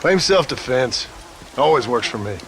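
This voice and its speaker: A man's voice: medium pitch, expressive, moderate pace, fairly bright tone, slight background noise. A man playing a sardonic, weary Old West gunslinger in a video game, with an American Western drawl and a dry, laconic manner. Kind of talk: video game cutscene acting.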